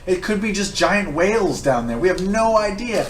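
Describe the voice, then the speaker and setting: A man with a fairly high voice debates as a player in a tabletop game. He is insistent and argumentative, and the recording is echoey with clear noise. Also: animated, normal speed, distant mic. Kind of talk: animated table banter.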